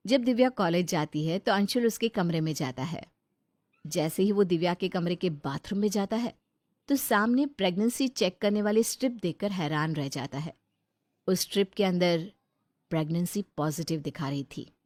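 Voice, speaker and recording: A woman's voice: fairly low pitch, normal speed, no room sound. Female storybook narrator, dry voice. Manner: steady, plain storytelling.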